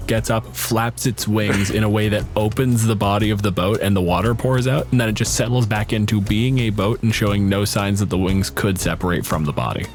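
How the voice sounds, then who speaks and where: A man's voice: on the low side, steady tone, brisk, few pauses, faint music behind. A man acting as game master, improvising casual narration of the scene for his players.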